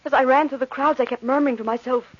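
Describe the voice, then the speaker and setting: An actress playing an anxious, determined young bride in an old radio horror play, with urgent, melodramatic delivery. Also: female voice, sits high, fairly even intonation, rapid-fire. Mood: haunted, tense. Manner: breathless, melodramatic. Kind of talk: old-time radio horror drama with narration. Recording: muffled vintage radio recording.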